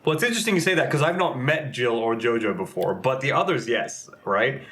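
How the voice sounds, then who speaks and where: A man's voice: medium pitch, expressive, brisk, fairly bright tone. Rambling young man, chatty guest. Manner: rambling, lots of fillers and restarts. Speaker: game community manager and streamer. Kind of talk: casual interview chat.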